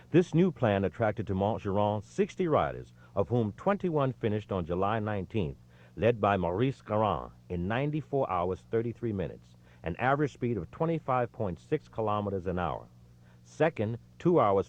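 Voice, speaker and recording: Low-voiced male audiobook narrator, lively intonation. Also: highs cut off. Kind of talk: scripted narration, reading a history book aloud.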